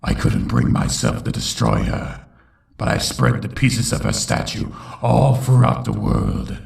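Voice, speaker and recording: A man playing a bitter, menacing pony tyrant in a dramatic comic dub, with a gravelly growl and brooding, theatrical delivery. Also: medium pitch, huge pitch swings, unhurried, echoey room, exaggerated voice. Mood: menacing triumph.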